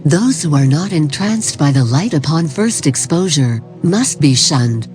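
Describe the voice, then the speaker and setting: A performer playing a calm, formal cult recruitment narrator, reading a scripted instructional tape in a measured, solemn way. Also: medium pitch, lightly acted. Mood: serene, ominous. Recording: 1990s tape-style narration over music. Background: music.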